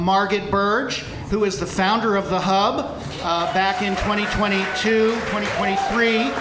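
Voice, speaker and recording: A man's voice: sits high, noisy background. A middle-aged man speaking live to a concert audience as a volunteer, earnest and grateful, rallying the crowd with plenty of fillers. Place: large room with live audience. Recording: echoey room, crowd murmur.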